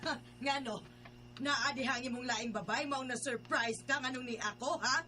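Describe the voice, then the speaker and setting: A jealous pushy middle-aged mom in a radio drama, high piercing voice. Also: female voice, steady tone, normal speed, bright, forceful tone, slight background noise. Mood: indignant, jealous. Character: brazen possessive middle-aged mother, jealous lover.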